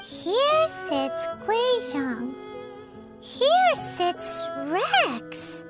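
A woman voicing a tiny childlike fairy companion in a video game, squeaky, chirpy and curious, with exaggerated expressive reactions. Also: very slow, heavily acted. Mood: curious musing. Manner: refers to self in third person, exaggerated expressive reactions. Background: background music.

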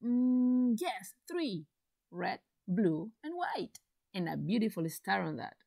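A woman teaching an English lesson to secondary students, speaking slowly and deliberately with an encouraging classroom manner. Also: medium pitch, very animated, vocal fry, warm tone, no echo, studio quality. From Chile. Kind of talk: slow recorded classroom lesson.

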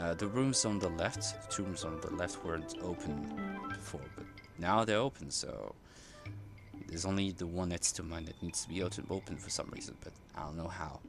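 A man casually commenting over his gameplay, rambling and hesitant with many fillers and restarts. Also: sits low. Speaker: gaming streamer. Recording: game music loud behind voice.

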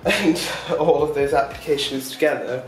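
A man's voice: a little high, moderate pace, roomy sound, distant mic, music in the background, rough recording. A man talking casually to his vlog audience, rambling and chatty with lots of ums. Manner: rambling, chatty, with many fillers and short laughs. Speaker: vlogger. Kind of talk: casual daily vlog chat.

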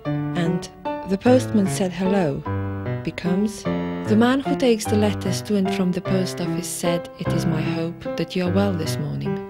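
A woman giving measured, scripted documentary narration. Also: on the low side, over loud music.

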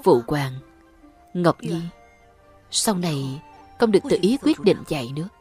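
A woman reading scripted dialogue as a voice-over for an animated fantasy series. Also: deep voice, measured pace, music in the background.

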